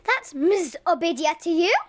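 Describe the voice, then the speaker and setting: A woman's voice: very high voice, expressive, moderate pace. A young woman playing a prim, smitten lady in a comic radio play. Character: prim, smitten lady swooning over a highwayman. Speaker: drama student.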